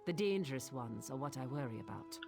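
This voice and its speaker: A woman's voice: extremely low pitch, expressive, a little fast, vocal fry, over music, exaggerated voice. A woman playing a polite, worried keeper of sacred relics in a fantasy video game, speaking earnestly. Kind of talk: video game dialogue acting.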